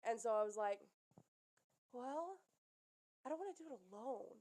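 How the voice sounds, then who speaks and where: A young woman co-hosting a casual podcast, animated, with a loud laugh. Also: a little high, normal speed. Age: young adult. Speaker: podcast co-host. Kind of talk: casual chat.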